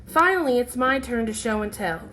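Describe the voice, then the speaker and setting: A woman reading a children's picture book aloud in an expressive, deliberate, animated storytelling manner. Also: a little high, a little hiss or hum.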